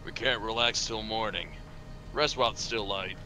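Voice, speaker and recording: A man talks casually with friends during an amateur game dub. His voice sits on the low side, over a noisy, rumbling home connection. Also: fairly even intonation, normal speed, sharp tone. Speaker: amateur voice actor on a game stream. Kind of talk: casual chat and game read-through.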